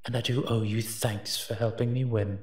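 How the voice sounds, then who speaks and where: A man playing a wry, teasing monster who partners a human detective in a roleplay audio drama, speaking with hums and pauses. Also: mid-range voice, steady tone, darkish tone, breathy edge, no echo, studio quality, natural voice. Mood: smug, amused.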